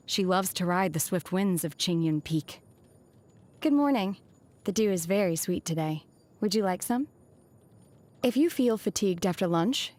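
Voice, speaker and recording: A woman playing an aloof, solemn young exorcist in a video game, speaking in a measured, formal, softly deadpan way. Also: fairly low pitch, lightly acted. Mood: calm, serene. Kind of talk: scripted video game character voice lines.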